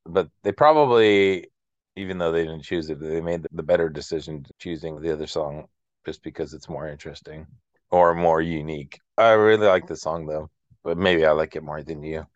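A man's voice: extremely low pitch, normal speed, no room sound, lo-fi sound. A man co-hosting a casual music chat, speaking in a reflective, hesitant way peppered with filler words. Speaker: co-host of a music discussion show.

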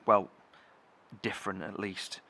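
A man playing a cautious, dutiful bunker leader with a measured manner in an audio horror drama. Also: on the low side, little pitch movement, sharp tone. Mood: sober, measured.

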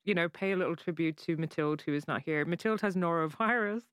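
A woman with a Cork Irish accent chats on a podcast in a relaxed storytelling way, dropping swear words. Her voice is smooth and clear. The sound is close and dry. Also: fairly low pitch, fast talker, no room sound. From Ireland. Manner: chatty, swears freely, tells stories. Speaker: podcast co-host. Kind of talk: casual chat.

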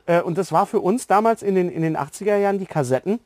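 A man's voice: high-pitched, fast talker, darkish tone, no echo. A man interviewing in a conversational way as a podcast host.

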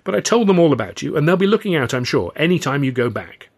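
A man's voice: fairly high pitch, sing-song, quick pace, pitched above the performer's own voice. A man voicing a polite, self-important English comedian who is condescending and evasive, in an audiobook.

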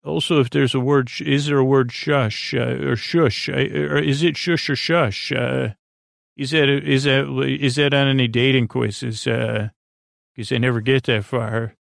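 A man with a creaky voice hosting a bedtime podcast, rambling in a soothing, sleepy, hesitant way with stop-and-start phrasing. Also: medium pitch, fairly even intonation, a little slow, no room sound, studio quality. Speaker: podcast host.

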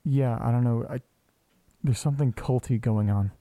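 A man's voice: mid-range voice, moderate pace, mellow, no room sound, lightly acted. A man playing an awkward, insistent amateur investigator in an audio drama, speaking in a hesitant, casual way. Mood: intent, uneasy. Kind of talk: naturalistic audio drama acting.

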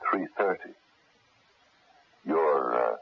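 A man playing a gruff, wry detective in a radio mystery drama, drawling with small pauses. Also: a bass voice, animated, heavily acted. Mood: accusing, dry. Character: gruff, wry male detective closing in on a culprit. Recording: dull lo-fi radio recording, faint noise.

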